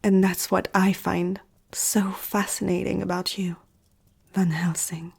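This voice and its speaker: A woman playing an ancient, powerful vampire aristocrat in an ASMR roleplay, poised, intimate and seductive, speaking in a breathy half-whisper. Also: fairly low pitch, level tone, measured pace, exaggerated voice. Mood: measured, persuasive.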